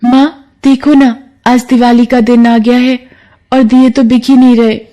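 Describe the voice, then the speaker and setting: A woman's voice: fairly high pitch, flat delivery, measured pace, warm tone, voice pushed up, natural voice. A woman voicing an earnest, emotional poor young girl in a moral story, with a plaintive manner. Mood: worried, downcast.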